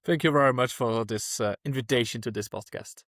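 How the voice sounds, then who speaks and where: Man, from France, earnest, restarts sentences, mid pitch, dry close mic. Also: fairly quick, a bit bright, no echo. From France (Alsace). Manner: earnest, searching for words, frequent restarts. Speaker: guest activist. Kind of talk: podcast interview answers.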